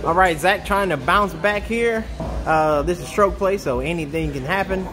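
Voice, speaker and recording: A man's voice: high voice, moderate pace, music in the background. A man in his thirties co-hosting a golf vlog, bantering and joking about his own shots in an indoor golf simulator bay. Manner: joking, self-mocking about his game.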